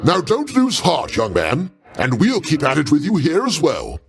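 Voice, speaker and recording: An actor playing a proud, paternal older god in a video game, speaking in a pompous, avuncular way with formal, theatrical diction. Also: male voice, fairly high pitch, huge pitch swings, moderate pace, gravelly, exaggerated voice. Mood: encouraging, proud. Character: grandiose, paternal older male Greek god, proud, easily offended.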